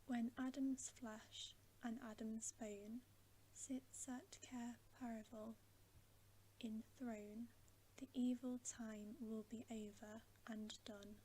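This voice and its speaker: Woman as stern male beaver, storybook guide, slow emphatic whisper, mellow, faint hiss. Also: a little high, little pitch movement, poor audio. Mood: earnest, solemn. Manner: emphatic, earnest, hushed. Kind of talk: whispered storybook character reading.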